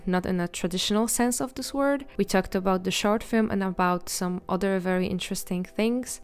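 Podcast host, woman, smooth and upbeat, reads the segment links. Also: mid-range voice.

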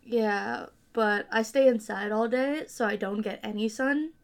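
Woman podcast host, casual chat, curious questions, chatty, slightly high pitch. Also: a little slow, creaky. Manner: chatty, curious, many likes.

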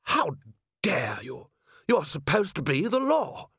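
Audiobook villain, played by a male narrator: self-important factory boss, pompous, blustering, animated pitch. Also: a little slow, dry recording, studio quality. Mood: indignant.

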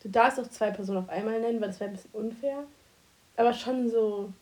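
A woman's voice: a little high, fast talker, darkish tone, low-quality recording. A woman in her twenties with a cold and a blocked nose, chatting casually as a podcast co-host and asking playful questions. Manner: playful, asks quirky questions, laughs often. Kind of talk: casual chat.

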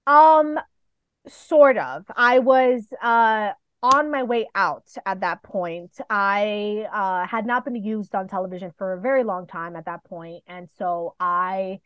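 A woman from New York City and the Dominican Republic chatting casually as an interview guest, telling stories in an animated, playful way. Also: medium pitch, slow, clear, resonant tone, lo-fi sound. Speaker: podcast guest, professional wrestler.

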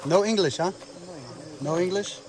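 A man doing on-the-ground reporting at night outside, firing off short blunt questions. His voice sits a little high. A faint hiss and insects chirp around him in the open air. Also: normal speed. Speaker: field reporter. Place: outdoors at night.

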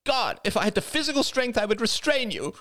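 A narrator putting on a young woman, stubborn and blunt, pressing her point in a raised, animated voice for an audiobook. The sound is dry and close. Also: a little high, brisk, dry recording, voice pushed up. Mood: determined. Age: young adult. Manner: insistent, blunt.

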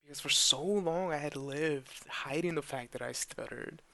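A young man speaking slowly and earnestly in a personal monologue, with stuttered repeats and blocks. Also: a little high, gravelly, pushed and bright. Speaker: podcast host who stutters.

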